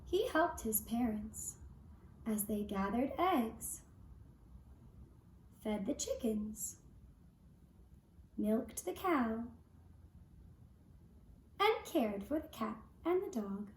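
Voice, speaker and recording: A woman telling a scripted fairy tale for a puppet show in a gentle, deliberate, singsong manner. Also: on the high side, sing-song. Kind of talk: scripted fairy-tale narration with lullaby singing. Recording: echoey room with faint noise.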